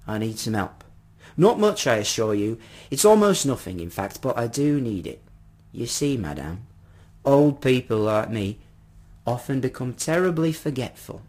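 Storybook little old gentleman, male reader acting: well-spoken, courteous to a fault, gently pleading, very animated, rough-edged voice. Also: medium pitch, moderate pace. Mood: courteous, apologetic. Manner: courteous, old-fashioned, effusive.

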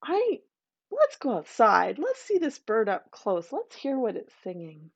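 A woman's voice: on the high side, huge pitch swings, measured pace, vocal fry, heavily acted. Wide-eyed simple dad, storytelling lady doing him, lifted voice, muffled. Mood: dazzled. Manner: eager, wondering. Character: naive, dazzled father. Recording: dull, muffled recording.

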